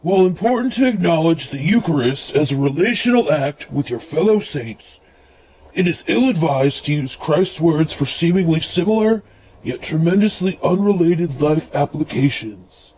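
A man's voice: on the high side, animated, moderate pace, darkish tone, slight background noise, lightly acted, poor audio. A man playing a pompous, stern church doctrinal reviewer, reading rejection letters in a formal, officious way for comedic radio theatre. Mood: disapproving, grave.

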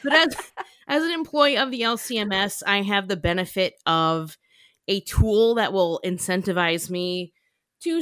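A woman's voice: medium pitch, a bit bright, smooth, dry recording. Woman podcast co-host, chatty and giggly, mid voice, dry close mic. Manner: chatty, laughs often, many fillers. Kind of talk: casual chat.

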